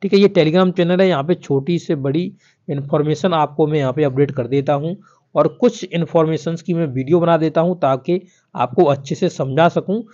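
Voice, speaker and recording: Higher-voiced man, muffled recording. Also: normal speed, mellow. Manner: repetitive, instructional, addressing viewers directly. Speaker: exam news YouTuber. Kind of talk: informal explainer, reading out and explaining a notice. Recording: dull, lo-fi voice-over.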